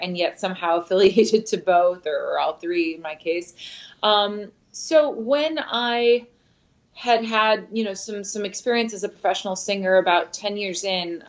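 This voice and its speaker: A woman who grew up with Spanish as her first language talks through her answers in a measured, reflective way, often pausing on little fillers. Her voice sits fairly low for a woman, and the recording sounds somewhat dull and lo-fi. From Michigan, USA. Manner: thoughtful, measured, many fillers. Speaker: guest, opera singer. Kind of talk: interview answers.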